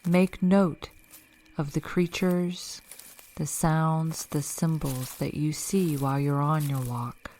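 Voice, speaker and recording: A woman leading a guided meditation in a calm, measured, instructional way, reading scripted narration. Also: sits low, low-quality recording. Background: background music.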